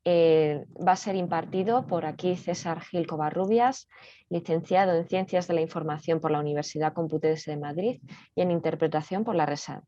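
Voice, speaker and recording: A woman reads a formal introduction as presenter. Her voice is slightly low and quite dark in tone, and the recording is dull and lo-fi. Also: no echo. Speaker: workshop presenter. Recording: dull, lo-fi audio.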